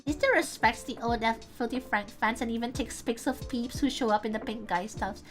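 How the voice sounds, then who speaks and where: High-voiced Indonesian woman streamer. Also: brisk, soft background music. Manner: chatty, reads viewer comments aloud, thinks out loud. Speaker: VTuber streamer. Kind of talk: casual livestream chat.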